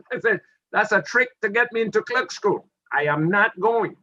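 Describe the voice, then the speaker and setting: Animated older Dominican man. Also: high voice, unhurried, muffled recording. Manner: animated storyteller who acts out quoted exchanges. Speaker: interview guest, retired army officer. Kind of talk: storytelling interview.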